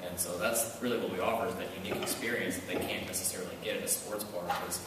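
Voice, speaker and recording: Low voiced dude rattling along fast, eager, echoey convention hall. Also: male voice, steady tone, very noisy. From Kansas, USA. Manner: quick and eager, lots of fillers. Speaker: panelist, bar owner. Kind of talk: convention panel talk. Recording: echoey hall mic from far off.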